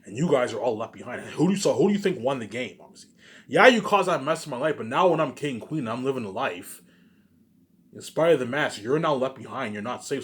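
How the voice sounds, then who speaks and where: A man's voice: medium pitch, a bit bright. A man giving a rambling, unscripted vlog monologue, emphatic and repetitive, circling back over his phrases and swearing at times. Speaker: vlogger.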